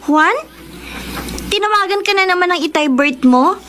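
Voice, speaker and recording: A woman playing a caring, protective young friend in a Filipino radio drama, giving gentle advice. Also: very high voice, expressive, normal speed, faint music behind, full character voice. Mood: concerned.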